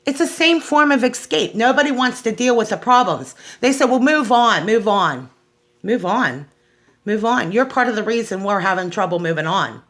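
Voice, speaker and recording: A woman's voice: a little high, animated, moderate pace, fairly bright tone. A middle-aged American woman giving an unscripted personal monologue, speaking in an aggrieved, emphatic way and repeating phrases for stress. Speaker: host of a personal awareness show.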